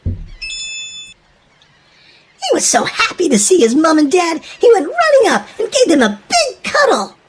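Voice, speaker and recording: Female children's story narrator, very high pitch, hugely expressive and dramatic, faint noise behind. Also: slow pace, long pauses.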